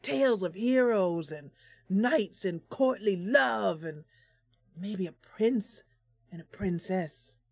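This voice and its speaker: A woman playing a friendly, romantic bard heroine in a fantasy game, speaking in a dreamy, theatrical, drawn-out way. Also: medium pitch, lively intonation, creaky, slightly rough, no room sound, exaggerated voice.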